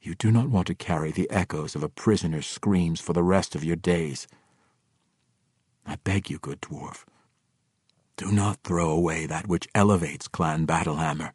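Man playing a steady, grave drow ranger, low, firm; dry audiobook read. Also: slightly rough, dry recording. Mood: resolute. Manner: measured, firm. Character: principled male drow ranger, grave and resolute.

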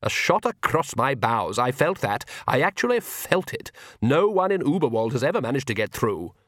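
A man's voice: medium pitch, big pitch swings, moderate pace, fairly bright tone, no room sound, studio quality. A man voicing an arrogant, urbane vampire count with a mocking, theatrically polite manner. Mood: smug, taunting.

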